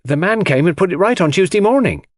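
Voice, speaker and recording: A man's voice: high voice, animated, fast talker, no room sound, pitched above the performer's own voice. A man voicing a polite, slightly flustered female household servant in a mystery audiobook. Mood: surprised, helpful.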